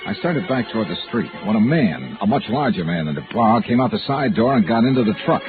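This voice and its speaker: An American actor playing a wry, hard-boiled insurance investigator in an old-time radio detective drama, clipped in delivery. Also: male voice, lowish voice, very animated, brisk, narrow, tinny audio. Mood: dry narration. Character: wry, tough male insurance investigator, private-eye type. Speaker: lead actor and first-person narrator. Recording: band-limited old radio recording, music cues.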